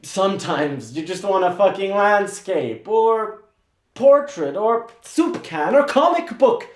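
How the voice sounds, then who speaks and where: A man's voice: a little high, expressive, reverberant. A man playing a furious sarcastic young assistant. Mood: sarcastic fury. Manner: sarcastic, profane, rapid tirades. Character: angry, sarcastic young male painter's assistant. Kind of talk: theatrical monologue.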